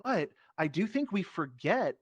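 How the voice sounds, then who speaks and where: A man's voice: high voice, very animated, a little slow, breathy edge, no room sound, lo-fi sound. A man teaching performance, speaking reflectively and explaining his thoughts in a casual panel talk over a VR headset microphone.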